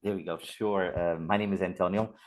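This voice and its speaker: A man's voice: deep voice, fairly even intonation, fairly quick, rough recording. A man from Portugal introducing himself in a friendly way on a video call. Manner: friendly, laughing. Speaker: realtor.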